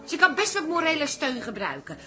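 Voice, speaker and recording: A woman playing a bossy but caring café wife in a Dutch radio comedy, speaking in a brisk, cajoling, expressive way. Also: high voice, soft background music, exaggerated voice.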